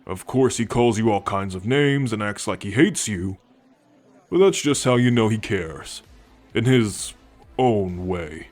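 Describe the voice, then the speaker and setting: A man voicing a friendly, teasing alien soldier in an intimate ASMR roleplay, talking in a gentle, playful, confiding way. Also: fairly low pitch, lively intonation, a little slow, faint music behind. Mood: sincere, reassuring. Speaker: voice actor in an audio roleplay. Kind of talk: ASMR roleplay, intimate one-sided conversation.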